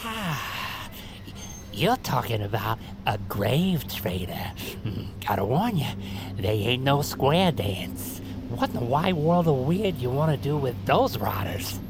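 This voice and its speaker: Folksy ghost sidekick, lively swooping delivery. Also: male voice, medium pitch, slow pace, noisy background. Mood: surprised, folksy. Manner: folksy, slangy, hesitant, theatrical. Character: wisecracking ghost sidekick, folksy, eerie male street buddy. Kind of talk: audio fiction acting. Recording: loud music under the voice.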